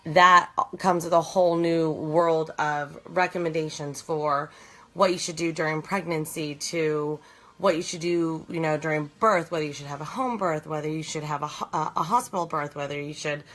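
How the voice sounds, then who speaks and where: A slightly hoarse woman in her thirties, chatting earnestly on a live stream from her bed at home, rambling with many fillers and restarts. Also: sits low, fairly even intonation, moderate pace, fairly bright tone. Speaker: host of a live video challenge. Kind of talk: casual chat on a live stream.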